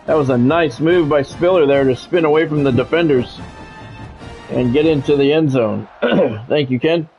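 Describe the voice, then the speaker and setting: A man's voice: on the high side, moderate pace, lo-fi sound, faint music behind. An American man doing football play-by-play on a gaming stream, mixed with casual chat. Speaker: gaming streamer calling play-by-play of own game; former radio sports play-by-play announcer.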